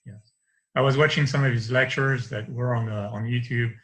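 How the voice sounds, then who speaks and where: Man, 50s, light French accent, bright edgy tone, loose rambling video-call guest. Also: medium pitch, fairly even intonation, moderate pace. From France. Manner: rambling, restarts often, light laughs. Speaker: interview guest. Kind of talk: casual conversation over video call. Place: home study with bookshelves. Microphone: video call webcam.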